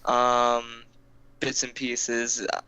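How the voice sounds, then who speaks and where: A man's voice: mid-range voice, completely flat delivery, drawn-out words, bright, forceful tone, smooth, no echo, low-quality recording. A young man casually telling an old story on a podcast, rambling and swearing, with many fillers and restarts. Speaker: podcast co-host.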